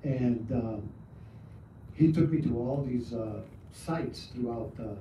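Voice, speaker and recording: Middle-aged male storyteller, halting delivery full of fillers, heard from afar over a muffled, noisy outdoor feed. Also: mid-range voice, mellow. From Arizona, USA. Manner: hesitant, many "uh" fillers, reflective. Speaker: storyteller and mask artist. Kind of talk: live outdoor talk to a small audience. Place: outdoors at a museum park at night. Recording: echoey, distant, dull mic with noise.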